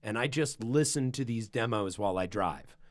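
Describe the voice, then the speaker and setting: Loud-voiced man telling a rambling story. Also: on the low side, normal speed. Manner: loud, carrying voice; rambling, animated storytelling with restarts. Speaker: podcast host and musician. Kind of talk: casual podcast conversation.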